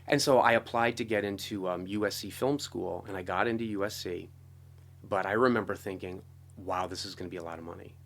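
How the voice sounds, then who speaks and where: A middle-aged American man telling his story casually as an interview guest, talkative and animated. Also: low-pitched, a little fast, vocal fry. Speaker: interview guest, composer and guitarist.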